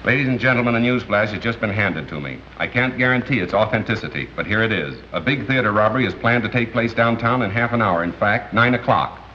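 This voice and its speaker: An actor playing a wry, measured male radio crime newscaster in an old-time drama, with dry wit. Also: lowish voice, lively intonation, pushed and bright. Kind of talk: old-time crime drama acting with broadcast announcer delivery. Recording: dull, noisy old film-era soundtrack.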